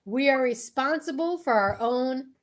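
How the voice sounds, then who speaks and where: A woman with a fairly high voice co-hosts a live show. She talks in excited, casual banter and gushes theatrically, with showy exclamations as she addresses her audience. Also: unhurried, heavy background noise. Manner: theatrical, gushing, sing-song exclamations.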